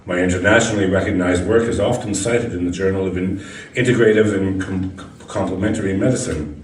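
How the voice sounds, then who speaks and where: A man's voice: low-pitched, steady tone, a little fast. A man reading a first-person short story aloud as scripted narration, now and then stumbling over a word. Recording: echoey room, distant mic, background noise.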